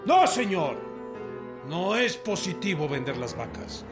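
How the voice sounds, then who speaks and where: An actor playing a defiant peasant leader in a radio drama, emphatic and insistent. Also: male voice, high voice, sing-song, slow, music in the background, full character voice. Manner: emphatic, insistent, repeats himself. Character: defiant peasant leader rallying farmers over their land.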